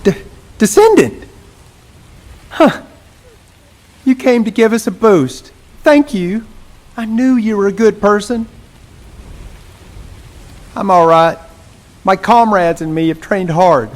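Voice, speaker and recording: A man's voice: high voice, takes it slowly, long pauses. A man playing an earnest, grieving young soldier in a video game, speaking in a sentimental, wistful way. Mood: wistful, grateful. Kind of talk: video game cutscene acting. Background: rain.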